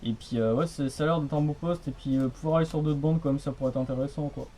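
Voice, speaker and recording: A man's voice: on the high side, steady tone, quick pace, heavy background noise. A young man chatting casually over the radio in a rambling, repetitive, colloquial way. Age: young adult. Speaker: radio hobbyist, channel host. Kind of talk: casual radio contact chat.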